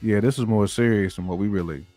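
A man's voice: lowish voice, quick pace, over loud music. An American man chatting casually as a panelist on a podcast. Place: indoor room on a video call.

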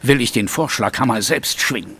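Actor as indignant, forthright Victorian man, medium pitch. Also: moderate pace, lightly acted. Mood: probing. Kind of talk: audio drama acting.

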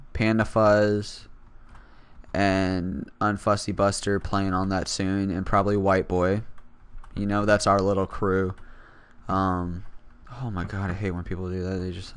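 A teenage boy hosting casual gameplay commentary, raspy from just waking up, rambling and self-deprecating with lots of fillers. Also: low voice, flat delivery, unhurried. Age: teens. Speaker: gaming channel host.

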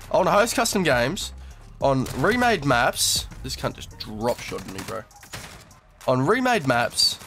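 A man's voice: medium pitch, a bit bright. Sweary Australian young man, a bit nasal. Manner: crude, swearing, laid-back slang. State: slightly nasal from a cold. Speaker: gaming YouTuber. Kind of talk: casual commentary over gameplay.

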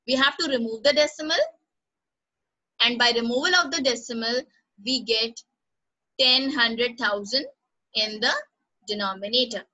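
A woman's voice: on the high side, normal speed, pauses often, pushed and bright, highs cut off. A female teacher, leading an online class, encouraging students by name and repeating instructions. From Pakistan.

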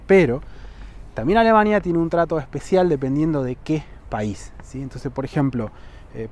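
A man in his thirties with a Rioplatense Spanish accent, vlogging outdoors on a lapel mic. He explains travel rules to the camera and keeps checking in with "¿sí?". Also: mid-range voice. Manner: checks in with frequent "¿sí?" tags, lively emphasis. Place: outdoors on a lawn by buildings, sunny.

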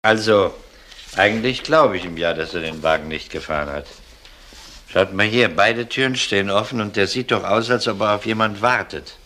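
Low, bright-voiced probing police inspector. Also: male voice, moderate pace, slight background noise. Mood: measured, probing. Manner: clipped, questioning, dryly sardonic. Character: male police inspector, firm, dry, probing. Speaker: actor. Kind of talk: German crime radio drama acting.

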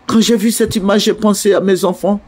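A man's voice: sits high, fairly even intonation, brisk, a little mellow, breathy edge. An older man from Côte d'Ivoire with an Ivorian French accent, making an indignant, pleading video address at night from indoors. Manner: indignant, pleading, repetitive appeals. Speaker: online commentator.

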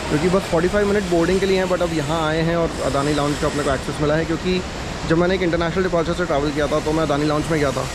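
A young man narrating a casual travel vlog, chatty and upbeat as he walks and talks to a camera held at arm's length in a large airport terminal. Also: on the high side. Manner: chatty, upbeat, walking and talking to a handheld camera. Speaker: travel vlogger. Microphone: action camera held at arm's length. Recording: noisy terminal ambience.

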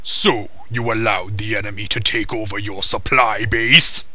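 Anime parody fighter, voiced by a man: sarcastic, exaggerated, very forceful delivery, recorded dry. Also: fairly low pitch, fairly even intonation, a little slow, no echo. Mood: indignant. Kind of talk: comedic anime parody dub, several characters.

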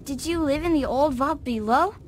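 A woman's voice: high voice, normal speed, slight background noise, natural voice. A woman playing an innocent, curious, timid little girl in a video game. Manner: wide-eyed, childlike.

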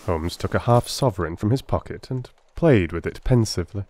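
A man's voice: fairly low pitch, lively intonation, a little fast, darkish tone. A man reading scripted narration as a dramatic audiobook narrator.

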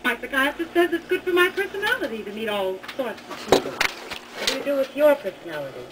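High, animated, flippant woman. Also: fairly quick, very noisy.

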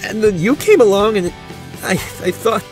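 A man's voice: high-pitched, lively intonation, moderate pace, soft background music, poor audio. A man acting an emotional, pleading young man in an amateur audio drama, desperate for his missing brother. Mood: grieving, desperate. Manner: emotional, pleading, sighing delivery.

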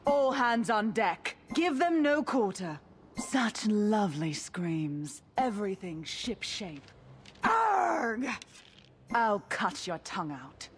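A ghostly pirate queen for a video game. She is salty, menacing and dryly amused, taking her time over each taunt with theatrical, widely swinging pitch. A faint ambience sits behind the voice. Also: sing-song, slow pace, a little hiss or hum. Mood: fierce, then matter-of-fact. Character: menacing, swaggering undead pirate queen captain, dry-humored. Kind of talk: video game character voice lines.

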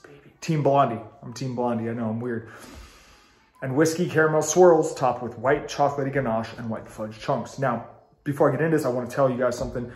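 A man in his thirties, congested, giving a chatty, enthusiastic video review to the camera in a small room at home. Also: mid-range voice. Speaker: ice cream reviewer. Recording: roomy home recording.

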